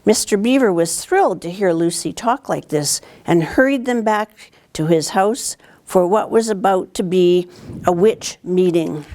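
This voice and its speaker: A woman's voice: medium pitch, darkish tone. Older woman reading a storybook aloud. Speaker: town councillor reading to students. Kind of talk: read-aloud storybook narration. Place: indoors, library corner with bookshelves.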